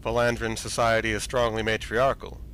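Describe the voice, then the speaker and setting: A man's voice: lowish voice, normal speed, clear, resonant tone. A man playing a senior space fleet admiral in a science fiction audio drama, briefing in a formal, authoritative manner. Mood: measured, authoritative. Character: commanding senior space fleet admiral, brisk and matter-of-fact. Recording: steady background ambient noise.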